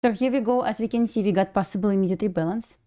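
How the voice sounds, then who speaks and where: A woman's voice: mid-range voice, rapid-fire, warm tone. A woman from Belarus with a Russian accent, casually commenting on a live trading stream, thinking aloud and answering chat. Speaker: day-trading livestream host.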